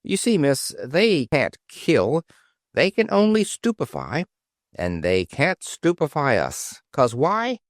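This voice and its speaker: A man's voice: fairly high pitch, wildly swooping pitch, slow pace, dry recording, studio quality, voice pushed up, heavily acted. A man reading aloud, voicing a dim, good-natured porpoise guard who talks in a homely, ungrammatical way. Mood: proud simple.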